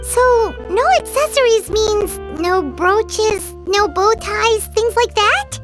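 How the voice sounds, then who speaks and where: A woman's voice: very high-pitched, takes it slowly, music in the background. A woman voicing a small, curious and earnest childlike companion in a video game. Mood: curious, worried.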